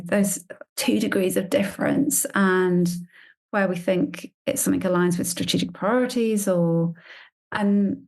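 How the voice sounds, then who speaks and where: A woman's voice: lowish voice, gravelly, darkish tone. A woman scientist answering conversationally in an interview, emphatic and repeating phrases for stress. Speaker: scientist and grant panel member.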